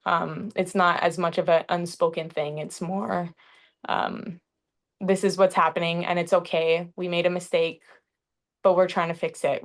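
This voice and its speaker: A young woman from Hawaii speaking earnestly in a panel talk, often pausing with "um" between thoughts. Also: fairly low pitch. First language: English. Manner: earnest, frequent "um" fillers. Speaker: panel facilitator.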